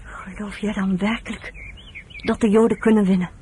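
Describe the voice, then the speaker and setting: A woman's voice: mid-range voice, steady tone, normal speed, warm tone, slight background noise, close to an everyday voice. An actress playing an earnest, conscientious woman in a Dutch radio drama, speaking in a hesitant, confiding, emotional way. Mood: troubled, anxious. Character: earnest, conscientious woman caring for camp survivors.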